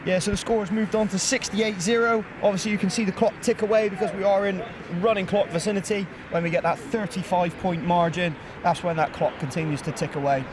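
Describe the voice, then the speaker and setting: A man giving lively, joking play-by-play commentary on a live football game outdoors. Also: high voice, a little fast, hardly any pauses, noisy background. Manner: lively, jokey play-by-play, laughs easily.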